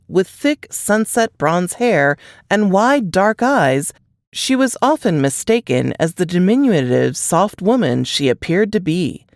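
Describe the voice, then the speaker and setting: A woman narrates scripted fiction like an audiobook. Her intonation moves widely and keeps the reading animated. The sound is very dry, without room echo. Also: fairly low pitch.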